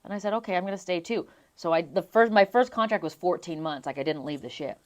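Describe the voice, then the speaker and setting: A young adult woman from Las Vegas, a singer being interviewed, telling her stories in an animated way and laughing often. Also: fairly low pitch, fast talker. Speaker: guest singer. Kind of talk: casual interview chat.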